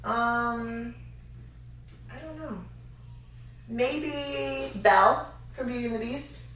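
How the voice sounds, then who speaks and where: A woman's voice: on the high side, fairly bright tone, slight background noise. Easygoing woman in her thirties, echoey room. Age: 30s. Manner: chatty, easygoing, quick to laugh. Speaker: fitness co-host. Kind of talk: casual Q&A chat. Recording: echoey room, mic far off.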